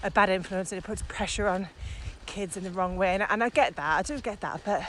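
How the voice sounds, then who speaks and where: Female podcast co-host, medium pitch, keen and emphatic, informal, recorded outside with slight ambient noise. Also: brisk, fairly bright tone. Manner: enthusiastic, emphatic. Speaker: podcast co-host, virtual trainer. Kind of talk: casual chat. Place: outdoors, walking in the countryside.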